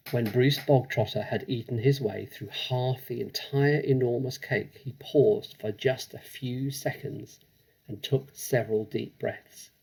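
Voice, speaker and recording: Male storybook reader: husky, creaky voice, expressive and dramatic narration, mid pitch. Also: low-quality recording.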